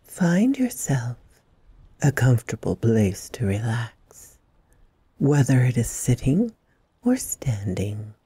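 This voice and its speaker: A woman hosting a guided meditation, speaking in soothing, deliberate phrases with long pauses and a breathy softness. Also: extremely low pitch, big pitch swings, dry recording. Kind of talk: slow guided meditation narration.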